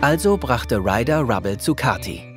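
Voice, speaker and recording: A male storyteller voiced by an actor narrates a children's audio play. His delivery is animated, with wide intonation, and music plays clearly behind him. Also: mid-range voice, moderate pace, close to an everyday voice. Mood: storytelling.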